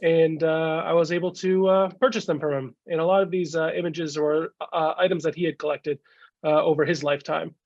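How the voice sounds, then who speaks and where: A man with a cold talks through a slideshow over a video call, his voice gravelly and a touch higher than most. He halts often on fillers. The sound is dull and dry. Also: little pitch movement, darkish tone, dry recording. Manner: halting, many "uh" fillers. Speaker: guest speaker, collector. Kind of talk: casual slideshow talk.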